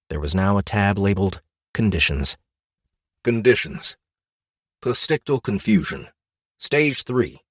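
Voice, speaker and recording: A man narrating a scripted fantasy audiobook in an expressive, deliberate storytelling manner. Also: fairly low pitch, no echo, studio quality. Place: dry studio.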